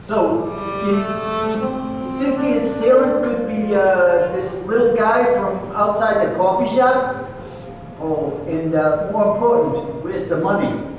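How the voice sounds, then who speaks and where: Tough, wisecracking investigator sidekick acted by a man, highish voice, blunt and teasing, radio drama staged in a big reverberant hall. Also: slow, a little mellow, soft background music. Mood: matter-of-fact. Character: blunt, wisecracking, tough male detective partner. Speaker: investigator partner. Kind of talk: old time radio drama performance. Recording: very echoey hall, distant mic, faint noise.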